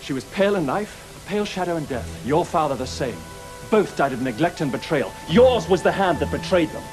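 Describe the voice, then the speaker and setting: A man's voice: on the high side, lively intonation, brisk, music in the background, natural voice, poor audio. A man playing an imperious, accusing Victorian detective. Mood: grave, condemning. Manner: theatrical, prosecutorial, biting. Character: accusing, wounded, imperious fictional detective confronting his author. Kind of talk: radio drama acting.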